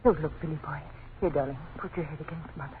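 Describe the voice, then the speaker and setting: Horrified young boy's mother, played by a woman, halting and pleading, for radio drama; clearly noisy old recording. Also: low-pitched, steady tone, phone-quality sound, close to an everyday voice. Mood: shaken. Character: frightened, horrified wife and protective mother of small boy.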